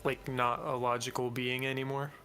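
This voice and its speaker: A man's voice: mid-range voice, flat delivery, moderate pace, bright, forceful tone, smooth, soft background music. A man chatting casually as a player in a tabletop role-playing game, hesitant as he thinks aloud.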